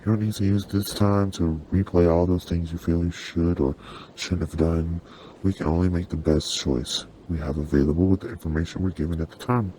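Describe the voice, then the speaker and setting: Very deep, mellow, gentle demon, voice-changed performer. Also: steady tone, brisk, slight background noise, poor audio. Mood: tender, encouraging. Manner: soothing, reassuring, conversational. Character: gentle, apologetic sleep paralysis demon comforting a human. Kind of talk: ASMR roleplay.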